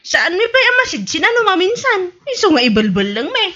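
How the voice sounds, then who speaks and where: Woman acting a shrill, whiny village mother. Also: very animated, brisk, bright, forceful tone, highs cut off, heavily acted. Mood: whiny, doubtful. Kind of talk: comedy radio drama acting. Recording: music under the voice.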